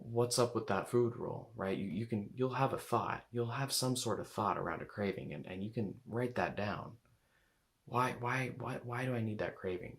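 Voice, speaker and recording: Low-voiced rambling man coaching. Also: fairly bright tone. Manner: rambling, repeats words, many fillers. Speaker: intuitive eating coach. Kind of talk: casual talk to camera.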